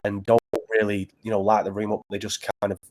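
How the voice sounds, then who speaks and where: A man with a Northern English accent from Preston, talking as a battle rapper guest in casual interview chat, earnest and halting with many restarts. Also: fairly low pitch, monotone, a little mellow. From Preston, England.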